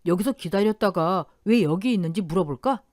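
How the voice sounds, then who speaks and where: A woman voicing a cautious, gentle husband who calms his wife down. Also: high-pitched, a little fast, no room sound, clean studio sound, natural voice. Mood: unsure. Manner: soothing, cautious. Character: gentle husband, young to middle-aged man.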